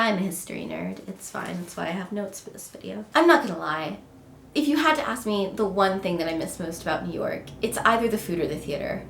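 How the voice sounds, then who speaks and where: A woman's voice: lowish voice, vocal fry, echoey room, slight background noise. A young woman vlogging casually and chattily, a little nasal from a cold, full of "um" and "like". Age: young adult. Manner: chatty and bubbly, lots of "um" and "like". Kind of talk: casual vlog chat.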